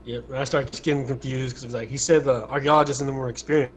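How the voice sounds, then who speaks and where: A man's voice: medium pitch, fairly even intonation, quick pace. A man speaking casually as a player in an online tabletop roleplaying game. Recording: faint hum on a home mic.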